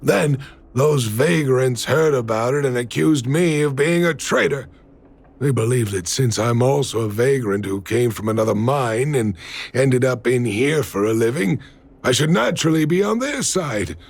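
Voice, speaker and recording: An actor voicing a weary, gentle old miner and father in a video game, hesitant and sighing, with a gravelly, husky voice. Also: medium pitch, animated, normal speed, no room sound. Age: older.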